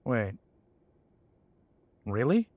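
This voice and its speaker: A sardonic half-breed werewolf, played by a man in an audio drama, talking slowly in a dry, wry tone. His voice sits mid-range and sounds close and dry, with no room around it. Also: mid-range voice, sing-song, a little mellow, no room sound.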